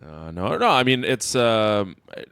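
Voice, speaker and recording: A man's voice: fairly low pitch, sing-song, a little slow, a bit bright, clean tone, no room sound. A man with a Wisconsin accent hosting a podcast, chatting with crude, teasing humor. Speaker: podcast host. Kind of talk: casual chat.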